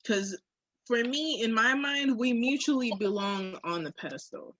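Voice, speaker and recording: Woman podcaster, husky mid-range voice, reflective and blunt, profane, casual talk, lo-fi sound. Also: on the high side, unhurried, a bit bright, no echo. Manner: frank, uses profanity, reflective. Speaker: podcast co-host. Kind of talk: candid casual conversation. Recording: dull, muffled lo-fi audio.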